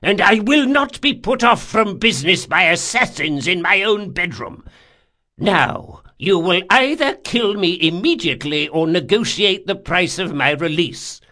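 A man's voice: high-pitched, wildly swooping pitch, takes it slowly, bright, forceful tone, voice pushed up, exaggerated voice. A man narrating an audiobook, voicing a wizened, wealthy old man who is haughty and formal, bargaining indignantly. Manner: haughty, formal, pleading when frightened.

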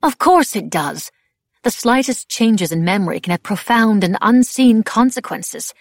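A woman's voice: a little high, animated, measured pace, no room sound, clean studio sound, pitched above the performer's own voice. A woman voicing a stern, irritable older noblewoman and witch in an audiobook, haughty and emphatic as she lectures.

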